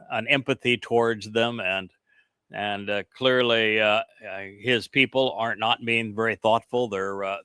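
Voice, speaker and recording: A man's voice: fairly low pitch, sharp tone, smooth, dry recording, clean studio sound. A man teaching scripture in an earnest, emphatic cadence, drifting into rambling, chuckling asides to the people with him. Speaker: religious teacher.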